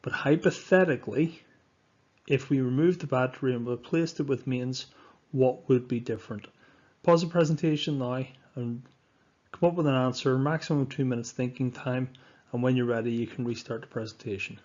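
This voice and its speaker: A man narrating a lesson as a science teacher, speaking in an instructive, deliberate way with emphatic stresses, on a dull recording. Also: medium pitch, a little mellow. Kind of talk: scripted lesson narration over slides.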